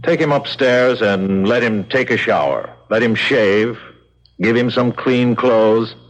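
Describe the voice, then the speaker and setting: Man playing rueful, slow-spoken prison boss. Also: medium pitch, natural voice. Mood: grave, deliberate. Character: rueful, weary male prison boss with a soft streak. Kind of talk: old-time radio drama acting. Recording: dull old radio recording.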